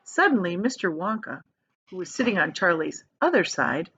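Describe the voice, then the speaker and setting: A woman reads a children's storybook aloud with very lively, swinging intonation and emphasis on descriptive words. The recording is dry and slightly dull. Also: lowish voice, moderate pace, slightly rough, dry recording. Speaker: storybook reader. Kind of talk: expressive children's read-aloud.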